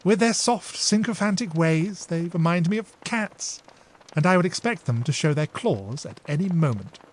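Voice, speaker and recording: A poised, warm-hearted elder sister, as a male reader puts her on, teasing and exclaiming in a high, lively voice that leaps up and down. She stays dignified even when she scolds. It is a storybook voice for an audiobook. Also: normal speed, rough recording. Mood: reasoning.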